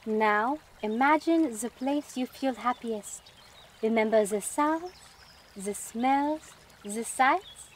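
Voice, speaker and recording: A woman's voice: high voice, a little hiss or hum, heavily acted. A woman playing an earnest, bookish rebel in a fantasy audio drama, speaking in precise, formal phrases. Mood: calm, guiding.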